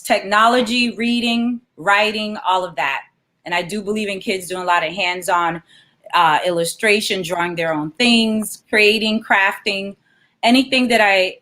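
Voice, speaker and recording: Woman sharing a children's story, mid-pitched. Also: sharp tone. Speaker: guest children's author and elementary librarian. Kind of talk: informal introduction and read-aloud for children.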